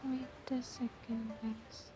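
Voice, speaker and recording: A woman's voice: a little high, flat delivery, slow, highs cut off, background noise. A woman livestreamer chatting casually over a game, with game music behind her. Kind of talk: casual game stream chat.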